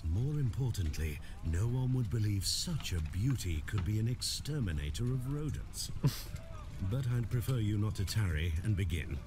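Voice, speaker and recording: A man's voice: fairly low pitch, very animated, normal speed. A man voicing a pompous, oily, cowardly merchant in a fantasy game, with formal, mannered speech. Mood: smug, formal. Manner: formal, haughty, turning to grovelling. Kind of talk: video game voice acting.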